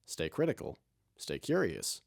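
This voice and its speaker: A man's voice: low-pitched, huge pitch swings, unhurried, creaky. A man reading scripted end credits as a series announcer.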